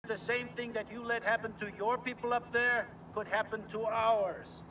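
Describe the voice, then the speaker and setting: An actor playing a calm, probing Soviet scientist in a film, speaking in a measured, deliberate way with faint amusement. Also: male voice, very high voice, recorded from a distance, a little hiss or hum, low-quality recording. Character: sly calm Soviet scientist, middle-aged man, probing. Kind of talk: film dialogue.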